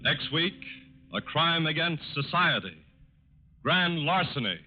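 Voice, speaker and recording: A man with an American accent doing scripted 1940s radio announcing in a formal, measured, solemn way. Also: a little high, sing-song, loud music behind the voice. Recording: dull lo-fi 1940s radio recording.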